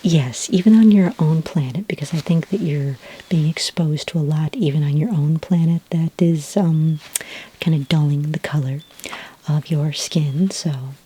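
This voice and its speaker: A woman acts out a kindly alien skin care consultant in a soft ASMR roleplay. Her voice is low and mellow, very breathy and often close to a whisper. She explains things gently and without hurry, reassuring the listener. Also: unhurried. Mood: soothing, helpful.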